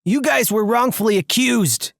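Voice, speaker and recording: A narrator voicing a brusque, bossy accomplice in an animated story, commanding and dismissive. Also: medium pitch, moderate pace, gravelly, no room sound, clean studio sound, pitched above the performer's own voice, natural voice. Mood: urgent.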